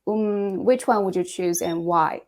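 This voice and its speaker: A woman hosting a casual podcast interview. She asks quick icebreaker questions and laughs often. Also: lowish voice, fairly even intonation. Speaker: podcast host.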